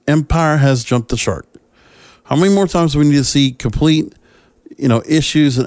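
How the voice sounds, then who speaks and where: A man's voice: medium pitch, darkish tone, no echo. A man hosting a solo podcast, talking casually about entertainment in a rambling, opinionated, conversational way. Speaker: podcast host.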